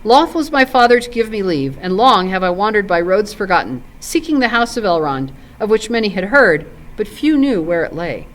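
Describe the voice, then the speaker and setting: A woman's voice: mid-range voice, sing-song, normal speed, hardly any pauses, close to an everyday voice. A woman voicing a proud, doubting nobleman warrior in formal, archaic storybook speech. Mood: earnest.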